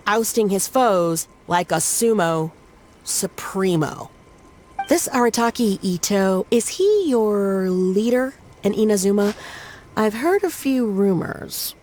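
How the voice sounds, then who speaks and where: A woman's voice: mid-range voice, animated, slow pace, a little mellow, slight background noise. An actress playing a polite, inquisitive young townswoman in a video game, questioning and reacting openly. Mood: curious, impressed.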